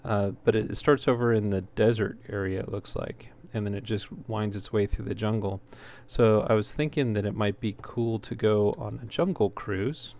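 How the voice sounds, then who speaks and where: A man giving casual gameplay commentary in a halting way, as if thinking aloud while he plays. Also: on the low side, fairly even intonation, a little mellow. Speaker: let's player, host. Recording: clear background noise.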